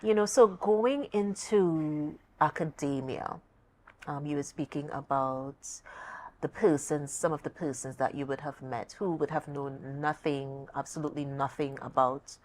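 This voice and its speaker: A woman with a notably low voice and a Tobagonian accent hosts a talk show. She interviews in a conversational way, expressive and emphatic, often repeating words to stress them. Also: unhurried.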